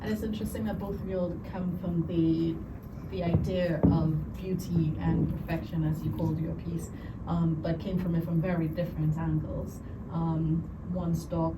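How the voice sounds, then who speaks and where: A woman's voice: lowish voice, little pitch movement, normal speed. A woman hosting a festival Q&A, asking long, rambling, loosely worded questions. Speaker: Q&A host. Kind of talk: festival Q&A moderating. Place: cinema event room. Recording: echoey, distant, very noisy.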